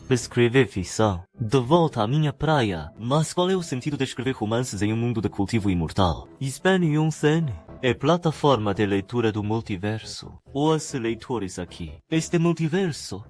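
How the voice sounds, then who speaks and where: A man's voice: medium pitch, darkish tone, natural voice. A man playing a cocky, scheming young writer in a cultivation world, talking to himself in a dramatic motion-comic monologue. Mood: excited, hopeful. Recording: music clearly behind voice.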